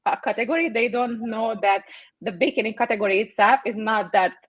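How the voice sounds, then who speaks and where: A young woman with a Hungarian accent, a native Hungarian speaker, telling her story as a podcast guest in an animated way. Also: on the high side, brisk, slightly rough, no room sound. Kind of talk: interview storytelling.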